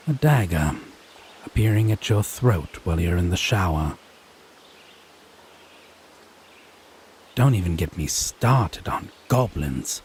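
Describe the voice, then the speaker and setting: A man playing a haughty, teasing, possessive fae in a scripted audio roleplay, speaking in wry, theatrical, deliberate phrases. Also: sits low, darkish tone, a little hiss or hum. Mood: lecturing, dry.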